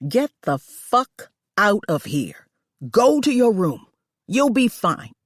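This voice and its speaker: A woman reading aloud, voicing a cruel wealthy female employer who yells and screeches at her maid. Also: sing-song, normal speed, dry recording, studio quality. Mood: furious. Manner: shrill, shouting.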